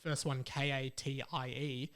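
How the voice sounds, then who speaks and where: A man's voice: medium pitch, little pitch movement, measured pace, fairly bright tone, slight background noise. A man hosting a solo podcast in a casual, jokey way, repeating phrases for emphasis. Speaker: podcast host.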